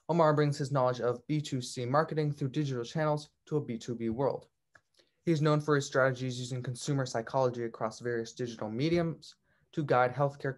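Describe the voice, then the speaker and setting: A man acts as host of a talk. He reads a prepared, formal introduction for the guest in a mid-pitched voice.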